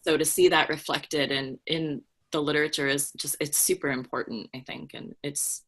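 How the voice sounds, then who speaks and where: A woman's voice: low-pitched, fairly even intonation, normal speed, a bit bright. A woman talking casually about books as a podcast panelist, with an earnest, emphatic manner. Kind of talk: casual book discussion.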